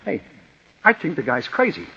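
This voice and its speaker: An actor playing a nervous, plain-spoken dinner guest who stammers, in 1940s radio acting. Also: male voice, on the high side, wildly swooping pitch, moderate pace, gravelly, bright, forceful tone, husky, exaggerated voice. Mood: nervous, uneasy. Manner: hesitant, stammering, folksy. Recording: dull lo-fi old radio recording with faint hiss.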